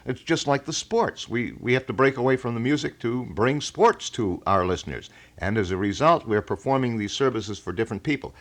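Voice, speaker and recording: A man's voice: mid-range voice, a little fast, hardly any pauses, fairly bright tone. A man hosting a call-in show in casual, wordy, folksy announcer style, as on a 1980 local radio broadcast. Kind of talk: casual live radio chat. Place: radio studio.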